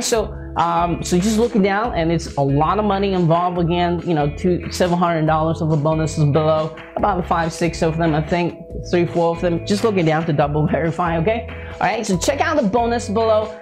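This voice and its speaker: Man pitching hype with non-native English phrasing. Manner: repetitive, hype-heavy delivery with frequent check-in tags. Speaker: interview host and product promoter. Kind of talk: upbeat sales pitch and interview introduction.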